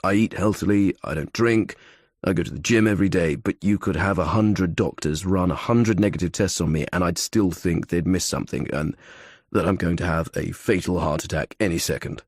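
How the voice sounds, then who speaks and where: A man acts out a worried young athlete from a thriller audiobook. The voice is low and husky, with an earnest, fretful way of explaining himself. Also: a little fast, no room sound, studio quality. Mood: fretful, reasoning. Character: anxious young male athlete with health phobia.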